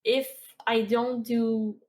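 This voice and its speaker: A woman in her twenties with a Québécois French accent, a guest on a podcast, chatting casually with many fillers and soft laughs. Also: fairly high pitch, flat delivery, takes it slowly. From Quebec. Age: 20s. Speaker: podcast guest, streamer and artist. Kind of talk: casual interview chat.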